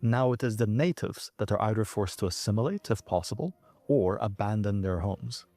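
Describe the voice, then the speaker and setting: A man giving measured, scripted documentary narration. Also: lowish voice, warm tone, no echo. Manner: measured, explanatory.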